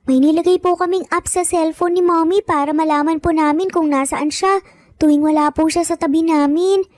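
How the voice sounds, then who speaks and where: A woman narrator puts on a small child from an audio drama, very high in pitch. The kid is polite but insistent, pleading earnestly in a dry recording. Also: fairly even intonation, moderate pace, darkish tone, no room sound. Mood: eager, earnest.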